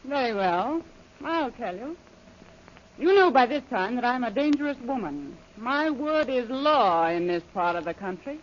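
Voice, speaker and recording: A woman's voice: on the high side, big pitch swings, measured pace, slight background noise. An actress playing a dangerous, commanding female villain with a mocking manner, in 1930s radio serial style. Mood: imperious. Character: imperious, dangerous female villain, coolly taunting. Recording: dull lo-fi old radio recording.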